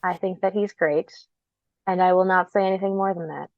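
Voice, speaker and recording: A woman's voice: fairly low pitch, fairly even intonation, a little fast, no room sound, phone-quality sound. A young woman guesting on a podcast, chatting in an upbeat, fan-like way with many "like"s. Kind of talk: casual conversation.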